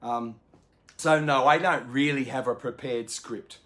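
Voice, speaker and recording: A man, a book video host, talking unscripted to camera at home in a chatty, polite way with lots of ums, his voice slightly croaky. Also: medium pitch, measured pace, a bit bright. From Australia. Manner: chatty and polite, many ums and small laughs. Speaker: BookTube host. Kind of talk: unscripted casual chat to camera. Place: home indoors in the evening.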